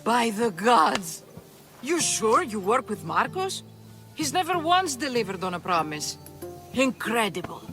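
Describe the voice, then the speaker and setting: An actor playing an impatient, sceptical bow maker with a curt, scoffing manner in a video game. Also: male voice, extremely high pitch, very animated, a little slow, music in the background, exaggerated voice. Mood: astonished. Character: grouchy, sceptical, impatient male bowyer, grudging craftsman. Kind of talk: video game cutscene acting.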